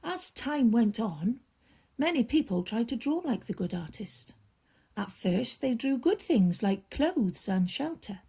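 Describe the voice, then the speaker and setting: A woman storyteller reading a children's bedtime story aloud, expressively, with drawn-out emphasis and hushed drops. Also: fairly high pitch, big pitch swings, vocal fry, breathy edge.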